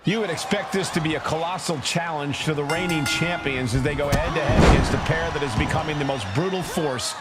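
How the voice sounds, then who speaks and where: A man's voice: fairly high pitch, a little fast, few pauses. A man calling a wrestling match as play-by-play commentator in a video game.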